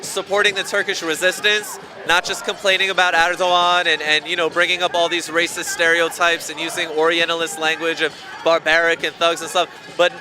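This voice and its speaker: A hungry man speaking informally and ramblingly, with many fillers, in an outdoor street interview. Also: high-pitched. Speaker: activist.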